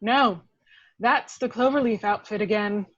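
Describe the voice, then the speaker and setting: A woman's voice: mid-range voice, measured pace, natural voice. A woman playing a detective's wry, jealous girlfriend in an amateur table read, with a sarcastic delivery. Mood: matter-of-fact. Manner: dry, sarcastic. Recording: slightly dull home recording.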